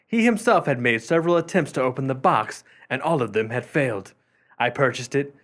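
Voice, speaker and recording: A man playing a pompous, competitive antiquities collector in a radio play, with formal, wordy, deliberate delivery. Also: medium pitch, animated, a bit bright. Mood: self-important, recounting.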